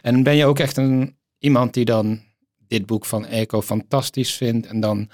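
A man's voice: mid-range voice, fairly even intonation, normal speed, darkish tone, dry recording. Male podcast host, close dry sound. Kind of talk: casual conversational interviewing.

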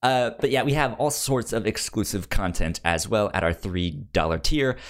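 A man's voice: lowish voice, moderate pace. A man hosting a podcast in casual chat, upbeat and enthusiastic, sometimes stammering over his words. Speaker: podcast host.

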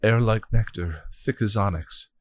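A man's voice: lowish voice, measured pace, dry recording, lightly acted. A man voicing a young woman witch who is timid at first but grows brave. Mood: determined.

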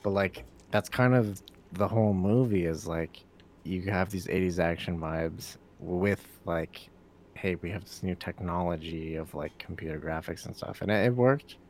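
A man with a very low voice talking through his take on a film. He rambles in a relaxed way and swears casually between thoughts. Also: moderate pace, a little hiss or hum. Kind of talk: casual chat.

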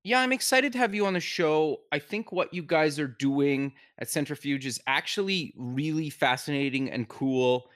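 A man's voice: a little high, normal speed, hardly any pauses, fairly bright tone. A man hosting an interview, casual and friendly, often answering with short stock replies. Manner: friendly, repeats short affirmations. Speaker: podcast host.

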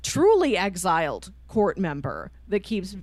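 A woman's voice: medium pitch, huge pitch swings, unhurried, a little mellow, no echo. Casual woman podcaster, dry close sound. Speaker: co-host of a manga discussion show. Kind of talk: casual book discussion with spoilers.

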